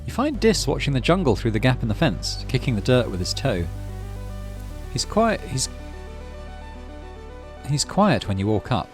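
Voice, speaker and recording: A man's voice: mid-range voice, big pitch swings, normal speed, long pauses. A man playing through a video game, reading the story aloud and musing to himself as he decides. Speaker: gaming video host. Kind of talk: game playthrough commentary and read-aloud narration. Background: game music.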